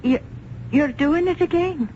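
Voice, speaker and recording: An actress playing an anxious, loyal middle-aged secretary who talks in a hesitant, flustered way, in a 1970s radio drama. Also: female voice, very high-pitched, a little slow, warm tone. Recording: lo-fi vintage radio recording, faint hiss.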